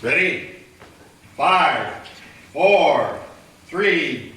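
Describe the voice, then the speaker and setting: A man's voice: a little high, animated, extremely slow, fairly bright tone. Sex: man. Role: organizer counting down. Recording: distant, echoey. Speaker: session organizer. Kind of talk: casual backstage talk. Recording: distant mic, very echoey room, background noise.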